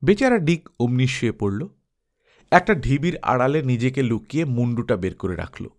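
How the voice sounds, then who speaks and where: A man's voice: medium pitch, moderate pace. A man reading an adventure story aloud as dramatized audiobook narration, acting expressively through the tale. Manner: expressive, acts out characters' dialogue.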